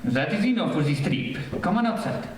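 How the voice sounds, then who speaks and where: A man's voice: on the high side, sing-song, a little fast. A man playing a commanding, learned alien in an old-time radio serial, formal and theatrical in manner. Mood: businesslike. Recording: echoey stage, distant mic, faint noise.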